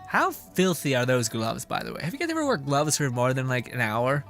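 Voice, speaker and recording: A man's voice: mid-range voice, big pitch swings, brisk, vocal fry, fairly bright tone, soft background music. A man livestreaming a game in casual chat, exasperated and swearing freely as he rants. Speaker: game streamer.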